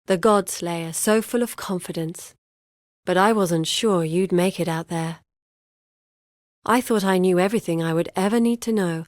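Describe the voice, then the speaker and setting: An actress playing a scholarly, driven young woman researcher in a fantasy video game, speaking in an articulate, measured and earnest way. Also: fairly low pitch, a little mellow, no room sound, clean studio sound, natural voice. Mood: reflective, confiding.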